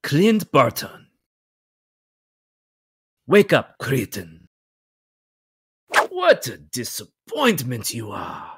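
A man playing a smug, theatrical French circus villain in a motion comic, with a husky voice and a pompous manner. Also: medium pitch, wildly swooping pitch, drawn-out words, long pauses, no room sound, clean studio sound, full character voice. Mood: scornful.

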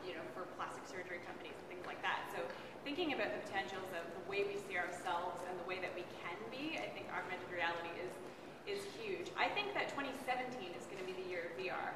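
A woman speaking casually and enthusiastically as a panelist at a tech meetup, with many "like"s and "um"s. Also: on the low side. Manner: enthusiastic, chatty, frequent "like" and "um". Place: coworking space meetup room. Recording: echoey hall from far off, loud room noise.

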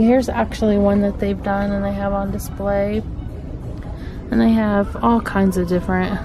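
A middle-aged woman talks slowly and easily as she walks the aisles of a home decor store with a handheld camera. She points out prices and cute pieces in a chatty, friendly way. Clear background music plays under her voice. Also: mid-range voice, rough recording. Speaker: vlogger. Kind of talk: shop-with-me vlog.

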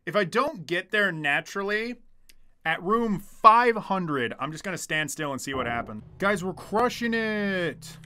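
A young man livestreaming a horror game, chatting to viewers with jokey, excitable, crude swearing. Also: high-pitched, a little slow, a little hiss or hum. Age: young adult. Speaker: game streamer. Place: small dim room indoors at home. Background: horror game music.

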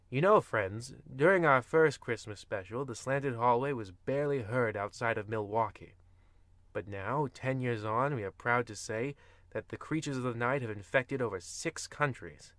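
Bright-voiced theatrical horror radio host played by a man. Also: mid-range voice, no room sound, lightly acted. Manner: formal, theatrical. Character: grandiose old-time horror radio host. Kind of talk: old-time radio horror host narration.

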